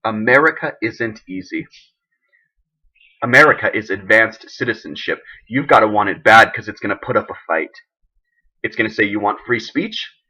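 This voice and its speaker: Man acting a forceful, husky, indignant president. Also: on the low side, lively intonation, heavily acted, poor audio. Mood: firm, indignant. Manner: oratorical, emphatic, deliberate. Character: embattled US president, a firm, indignant, idealistic man. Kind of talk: dramatic film monologue as a televised speech.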